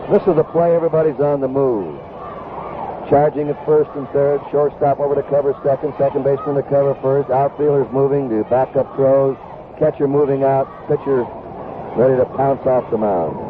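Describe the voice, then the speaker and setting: A man's voice: mid-range voice, steady tone, normal speed. An American man calling baseball play-by-play on live radio from a ballpark booth. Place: open-air ballpark booth. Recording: tinny, very noisy 1970s radio broadcast.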